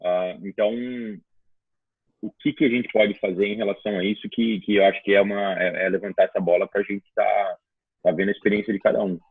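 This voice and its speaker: A man hosting a casual discussion, thinking out loud with frequent pauses and restarts. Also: on the low side, little pitch movement, breathy edge, no room sound. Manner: hesitant, searching for words. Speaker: host, trainer. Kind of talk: casual video-call discussion. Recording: tinny phone-like call audio.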